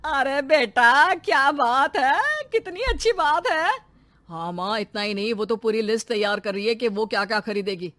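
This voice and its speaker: A woman's voice: fairly high pitch, lively intonation, moderate pace, no room sound. A woman voicing a soothing, patient young husband in a cartoon story. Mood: explaining. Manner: soft, reassuring.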